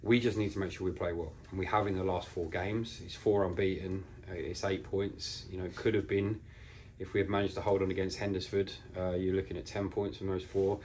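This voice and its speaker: A man in his forties, a football manager, giving a measured post-match interview with pauses as he thinks. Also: low voice, flat delivery, darkish tone, slight background noise. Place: small bare indoor room.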